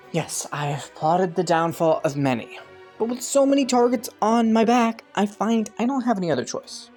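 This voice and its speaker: A woman's voice: low-pitched, darkish tone. A woman playing a young scheming prince in a romantic audio roleplay, courteous and formal, with flustered stammers. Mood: wry, confessional.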